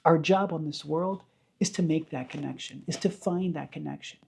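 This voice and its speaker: A man's voice: a little high, moderate pace, creaky, darkish tone, breathy edge. A man giving an informal Torah lesson to camera as a rabbi, explaining emphatically with repeated phrases and rhetorical questions. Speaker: rabbi and teacher.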